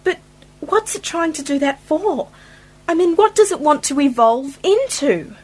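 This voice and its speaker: Actress as earnest young niece and companion: high-pitched, lively intonation, questioning and anxious. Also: female voice, a little slow. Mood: curious, worried. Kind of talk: science fiction radio play acting.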